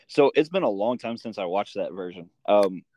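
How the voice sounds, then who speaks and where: A man hosting a casual interview, speaking informally and haltingly as he thinks aloud. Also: lowish voice, expressive, heavy background noise. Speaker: interviewer and host.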